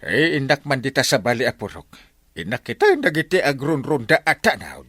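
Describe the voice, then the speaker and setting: A blustering Ilocano village captain. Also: male voice, mid-range voice, very animated, a bit bright, slightly rough, no echo, exaggerated voice. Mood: bossy. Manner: blustering, bossy, quick to laugh. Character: boastful middle-aged male barangay captain. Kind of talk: radio comedy drama acting.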